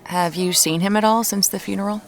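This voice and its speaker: An actress playing an inquisitive young American amateur detective with a dry, wry manner in an audio drama. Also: female voice, medium pitch, fairly even intonation, fairly quick, lightly acted. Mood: wry, probing. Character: inquisitive, dryly funny amateur detective woman.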